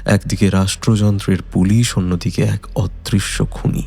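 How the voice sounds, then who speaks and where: A man narrates a suspense story in a low, dark voice with a husky, gravelly edge. His delivery is measured and dramatic, over soft background music. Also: level tone.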